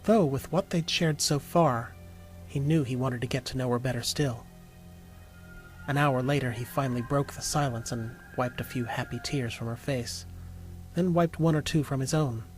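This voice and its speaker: A man narrates a scripted audio story in a medium-pitched voice. He serves as the storyteller between lines of dialogue. Music runs underneath his reading. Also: moderate pace, rough recording. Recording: music behind the voice.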